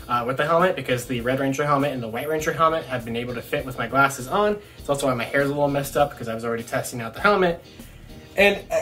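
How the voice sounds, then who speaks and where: A man's voice: medium pitch, pushed and bright. A young man chatting casually to camera as he reviews a toy, rambling and thinking aloud. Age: young adult. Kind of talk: casual unboxing chat to camera. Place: small room at home, indoors.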